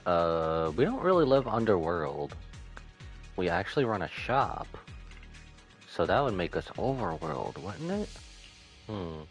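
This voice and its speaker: A man's voice: low-pitched, sing-song, unhurried, pauses often, soft background music. A man giving casual commentary as he plays through a video game. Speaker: gaming streamer.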